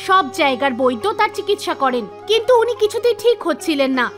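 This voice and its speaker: A woman with a strikingly high voice narrates a scripted cartoon folk tale. She works as a storyteller, and soft music plays underneath her. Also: big pitch swings, fairly quick. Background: background music.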